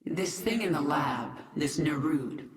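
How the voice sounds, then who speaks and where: A woman's voice: deep voice, expressive, takes it slowly. An actress playing a grim, commanding queen of an alien swarm in a video game, brooding and intense. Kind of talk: dramatic video game cutscene acting. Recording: echoing, reverberant game audio.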